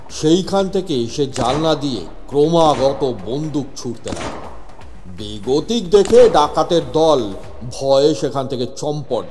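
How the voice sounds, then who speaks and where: A man narrating a scripted horror story as a police inspector, theatrical and suspenseful with measured pauses. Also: mid-range voice, a little mellow. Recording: long reverb over music bed. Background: music.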